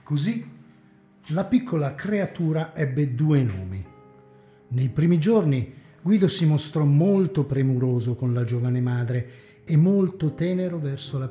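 Theatrical man narrating, mellow, echoey room, faint guitar. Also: mid-range voice. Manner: expressive, theatrical reading. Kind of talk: dramatic serial novel narration. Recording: echoey community hall.

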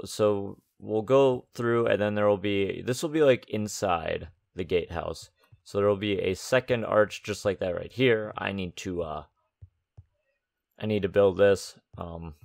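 A man with a slightly raspy voice, recovering from losing it, giving casual, unscripted gaming commentary as he thinks aloud. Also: fairly low pitch, no room sound, studio quality. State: recovering from a lost voice. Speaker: host of a gaming let's-play series. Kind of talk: casual game build commentary.

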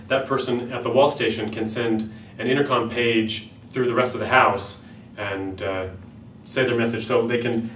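A North American man in his thirties explains a product in a medium-pitched voice, pausing and searching a little as he goes. He is recorded indoors some distance from the microphone, so the room rings around his voice. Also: fairly bright tone. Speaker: company product presenter. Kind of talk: product demonstration talk. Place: small plain-walled room indoors. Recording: roomy, distant mic, faint background noise.

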